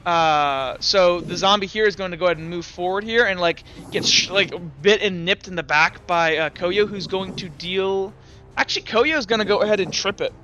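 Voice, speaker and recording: Man running a tabletop game: higher, bright voice, works through the rules out loud and corrects himself. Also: normal speed, muffled recording, a little hiss or hum. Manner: talks through rules and dice aloud, often correcting himself. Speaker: game master. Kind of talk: narrating and refereeing a tabletop game.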